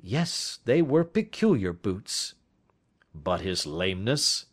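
A man's voice: fairly low pitch, big pitch swings, slow pace, breathy edge, heavily acted. A man reading the part of a Victorian doctor friend who asks brief, wondering questions. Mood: questioning. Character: admiring, plain-spoken Victorian male doctor companion.

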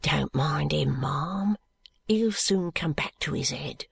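A reader plays a poor, deferential woman in a storybook. Her voice is soft and low, almost breathy. She speaks in a hushed, soothing way, recorded close and dry. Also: animated, slow pace, dry recording, heavily acted. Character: gentle, deferential poor woman tending a boy. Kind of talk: audiobook character voice.

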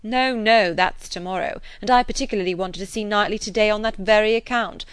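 A woman's voice: medium pitch, fairly quick, clean tone, no echo, close to an everyday voice. A fussy young clergyman who complains at length in an aggrieved, self-pitying tone, voiced by a woman reading aloud. His voice is lifted a little and pushed forward. The sound is dry and close.

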